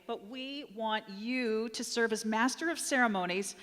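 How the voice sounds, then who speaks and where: A woman's voice: fairly high pitch, moderate pace, a bit bright, natural voice. An actress playing a polite, persuasive woman petitioner in a live comic radio play. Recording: live stage sound in echoey hall.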